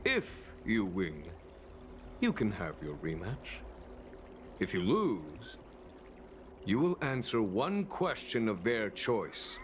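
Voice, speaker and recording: A man playing a shrewd, courteous military general in a video game, speaking in a calm, formal, measured way. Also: fairly low pitch, slight background noise, full character voice.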